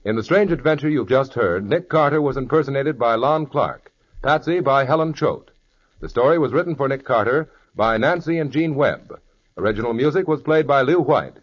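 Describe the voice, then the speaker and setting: A man announcing the credits of a 1940s radio drama in a crisp, formal broadcast manner. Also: medium pitch, animated, moderate pace. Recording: dull 1940s radio recording.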